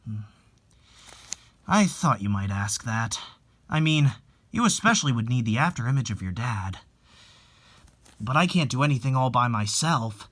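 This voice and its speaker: A man playing a calm, gentle young married man in a dramatic reading, soft-spoken and reflective. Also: medium pitch, normal speed, long pauses. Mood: gentle, reluctant.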